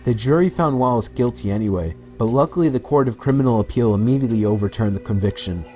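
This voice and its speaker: A man's voice: medium pitch, fast talker, darkish tone, faint music behind. A man delivering scripted documentary-style narration about real mysteries. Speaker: video narrator.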